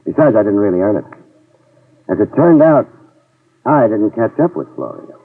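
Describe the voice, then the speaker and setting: A man's voice: lowish voice, big pitch swings, moderate pace, breathy edge, full character voice. A man playing a hardboiled private investigator who wisecracks and narrates in 1950s radio drama style, on an old recording. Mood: wry. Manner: dry wisecracking delivery. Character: hardboiled male private eye, wry and tough. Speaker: lead actor and narrator. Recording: tinny, distant old radio transcription.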